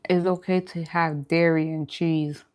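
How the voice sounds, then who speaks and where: Low-pitched woman chatting casually. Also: little pitch movement, a little slow, a little mellow. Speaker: vegan food vlogger and host. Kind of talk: casual mukbang chat to camera.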